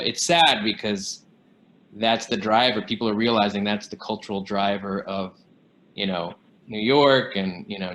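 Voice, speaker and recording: Low-voiced rambling documentary producer. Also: male voice, a bit bright, slight background noise. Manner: rambling, many fillers. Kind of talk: casual panel discussion.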